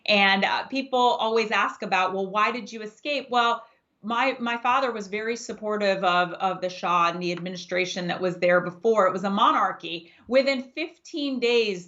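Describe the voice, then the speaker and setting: A woman in her forties, a native Farsi speaker raised in the United States, giving steady, emphatic answers as a political candidate in an interview. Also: medium pitch, normal speed. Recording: dull lo-fi audio.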